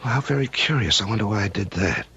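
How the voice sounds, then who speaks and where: An actor playing a confused middle-aged amnesiac in an old-time radio drama, husky and faltering as he gropes for words. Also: lowish voice, lively intonation, quick pace, sharp tone, exaggerated voice. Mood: bewildered, uneasy. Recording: dull lo-fi radio drama recording.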